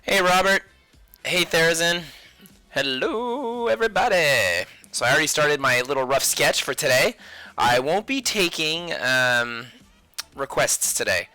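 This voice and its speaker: A man's voice: a little high, very animated, a little slow, pushed and bright, dry recording, soft background music. A man hosting a casual drawing livestream, chatting playfully with viewers and talking to himself as he works. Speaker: livestream host, sketch artist.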